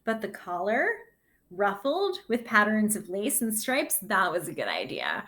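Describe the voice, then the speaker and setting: A woman teacher giving a read-aloud lesson for children, reading a picture book with gentle, deliberate, expressive phrasing and her own commentary. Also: medium pitch. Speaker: sixth grade teacher.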